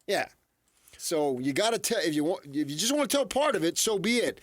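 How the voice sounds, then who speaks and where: High-voiced, animated male sports co-host. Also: brisk, dry recording. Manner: emphatic, repeats his points. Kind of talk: opinionated sports debate.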